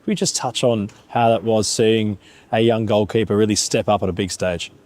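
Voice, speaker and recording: A man's voice: fairly low pitch, fairly even intonation, normal speed, a little mellow. Polished sports interviewer, man. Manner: formal, polished presenter phrasing.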